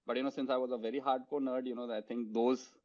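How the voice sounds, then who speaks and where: A man with an Indian accent hosting an interview, speaking eagerly and haltingly, with many "you know" fillers. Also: mid-range voice, little pitch movement. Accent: Indian English. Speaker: host. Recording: dull lo-fi sound.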